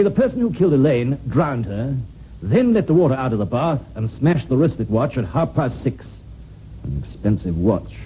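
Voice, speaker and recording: Male actor as measured, shrewd police inspector, radio mystery; dull vintage recording, clear hiss. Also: mid-range voice, natural voice. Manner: measured, wry, authoritative. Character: shrewd, unhurried police inspector explaining the case. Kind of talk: old-time radio drama acting.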